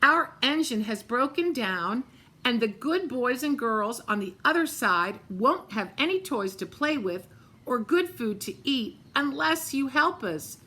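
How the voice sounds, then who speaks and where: A woman voicing a crowd of dolls and toys in a storybook, high and begging. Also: lively intonation, a little slow, sharp tone, heavily acted. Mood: pleading.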